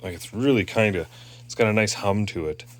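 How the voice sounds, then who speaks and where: A man from Canada giving a rambling, casual show-and-tell about restoring an old tape machine, stopping often with fillers and restarts. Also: on the low side. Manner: rambling, halting, lots of fillers.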